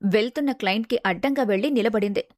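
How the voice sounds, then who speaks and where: Casting: female story narrator, slightly high voice, dramatic scripted delivery, dry close sound. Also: fast, no echo.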